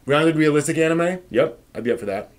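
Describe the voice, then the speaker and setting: A man hosting a casual live stream, replying to viewer comments with thinking pauses and firm, emphatic opinions. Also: on the high side, expressive.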